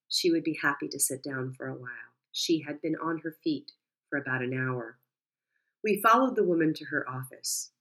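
A woman calmly narrating a first-person story. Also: sits low, rough recording.

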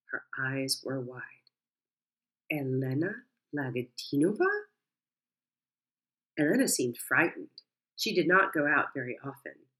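A woman reads a first-person story in a calm, measured way. Her voice is low for a woman and steady. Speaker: narrator. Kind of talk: first-person audiobook narration.

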